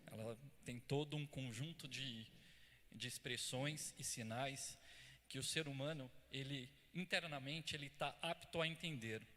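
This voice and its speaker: A man sharing a reflective comment at a church Bible study, halting as he thinks aloud. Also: medium pitch, fairly even intonation, sharp tone. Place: church hall. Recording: reverberant church hall with faint ambience.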